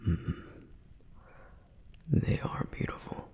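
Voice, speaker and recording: A man voicing a protective, reverent flame demon in an ASMR roleplay, speaking in a breathy, husky whisper with measured, gentle explanations and frequent pauses. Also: close to an everyday voice. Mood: reverent, fascinated. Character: male flame demon, protective, reverent, softly flirtatious lore guide. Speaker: audio roleplay performer. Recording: long reverberant hall echo.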